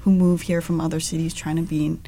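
A young woman from the Valley who makes ice cream at her shop, answering interview questions in a measured way with frequent "um"s. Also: sits low, little pitch movement, warm tone. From Los Angeles, San Fernando Valley.

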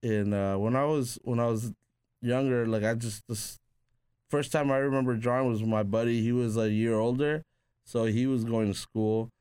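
A man's voice: medium pitch, fairly even intonation, normal speed, darkish tone, clean tone, no room sound, studio quality. A man born in Peru, a tattoo artist guest, casually telling rambling stories full of "like" and restarts. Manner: rambling, filler-heavy with frequent "like". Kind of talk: casual conversational storytelling.

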